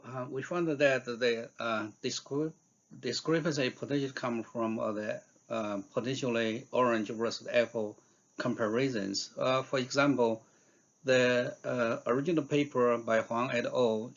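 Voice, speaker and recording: Halting man presenting research, dull audio. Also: mid-range voice. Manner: halting, hesitant delivery with frequent fillers. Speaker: researcher giving invited talk. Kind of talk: scientific conference presentation.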